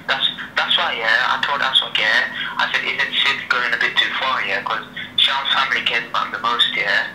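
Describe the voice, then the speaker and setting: A man's voice: mid-range voice, fairly even intonation, moderate pace, few pauses, bright, forceful tone. A young man chatting casually on a phone call, slangy and repetitive, tagging sentences with "yeah" and "innit". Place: phone speaker held up in a room. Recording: noisy, echoey speakerphone call.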